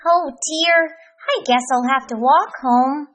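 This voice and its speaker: A woman's voice: high-pitched, slow, a bit bright, pitched above the performer's own voice. A woman doing a cheerful, ever-grateful furry creature. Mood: cheerful, thankful.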